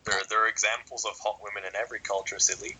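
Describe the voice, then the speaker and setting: Young scottish guy cohosting a podcast, really bright voice, laughs and swears a lot. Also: fairly low pitch, little pitch movement, fast, husky, poor audio. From Scotland. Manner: joking, laughs often, swears freely. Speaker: podcast co-host. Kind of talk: casual podcast chat.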